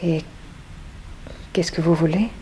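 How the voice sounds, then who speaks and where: Faintly noisy vintage radio drama,, slightly low female voice, guarded, defiant, curt, evasive. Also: steady tone, takes it slowly, warm tone. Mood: defensive. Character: guarded, defiant woman being blackmailed by telephone. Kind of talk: early 1960s French radio crime drama acting. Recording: clearly noisy old radio recording.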